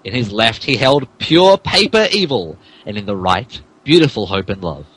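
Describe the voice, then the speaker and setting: A man's voice: fairly low pitch, sing-song, normal speed, fairly bright tone, breathy edge, low-quality recording. A man narrating a fan fiction as a mock-dramatic podcast reading, theatrical but stumbling over the text, with asides. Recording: podcast with faint background noise.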